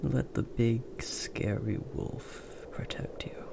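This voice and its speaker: Casting: male actor for a big gentle werewolf, protective and soothing, mostly whispered, slow delivery, ASMR roleplay. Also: medium pitch, steady tone. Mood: tender, soothing. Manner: hushed, soothing, breathy whispering and murmuring. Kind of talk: comforting ASMR audio roleplay.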